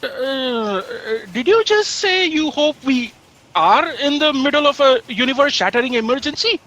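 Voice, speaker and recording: Brief: prim, stammering male office aide played by an actor, high voice, anxious and correct, sci-fi audio comedy. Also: lively intonation, normal speed, pushed and bright, full character voice. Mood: nervous. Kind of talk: sci-fi satire audio drama acting.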